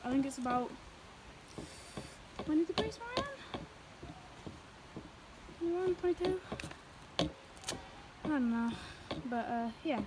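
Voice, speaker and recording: A woman's voice: high-pitched, very animated, a little slow, pauses often, background noise. A young woman in casual chat, talking into her phone outdoors while biking and walking, rambling and apologetic with many fillers, sometimes out of breath. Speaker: vlogger. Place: outdoors in the countryside. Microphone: phone held or carried.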